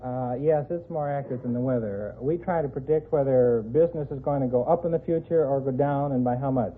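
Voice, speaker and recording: Man, earnest contestant, medium pitch, matter-of-fact answers, thin old radio sound with faint noise. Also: brisk. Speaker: quiz show contestant, college professor. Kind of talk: answering interview questions. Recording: narrow-band 1950s radio recording, faint noise.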